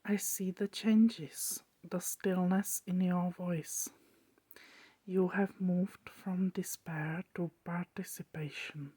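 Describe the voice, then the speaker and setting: Gentle, patient therapist, played by a woman: measured ASMR whispering, mellow and lowish, unhurried. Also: steady tone, husky, no room sound. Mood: gentle, affirming. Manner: slow, hushed, measured.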